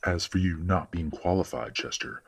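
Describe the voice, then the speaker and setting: A stern werewolf patriarch, acted by a man for an audio drama. His voice is extremely low and husky. He speaks formally and with measured authority, a courteous father set on tradition. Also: lively intonation, full character voice. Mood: stern, authoritative. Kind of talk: audio roleplay drama acting.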